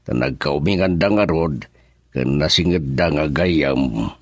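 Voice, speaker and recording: A man with an Ilocano Filipino accent playing a calm, matter-of-fact older village father in a radio drama. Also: extremely low pitch, slow pace, dry recording, studio quality, heavily acted.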